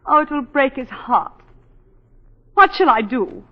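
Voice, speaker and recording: An actress playing an anguished wife with a secret in a 1940s radio drama, halting and pleading. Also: female voice, high-pitched, sing-song. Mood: desperate, pleading. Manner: halting, pleading, tense phrasing. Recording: dull 1940s radio broadcast.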